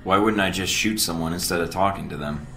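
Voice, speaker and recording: An actor playing a cocky, impatient young secret agent in a video game, speaking in a terse, dry, smug way. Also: male voice, low-pitched, a little fast, bright, forceful tone, slight background noise. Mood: curious, smug. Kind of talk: video game cutscene acting. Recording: roomy echo with music behind.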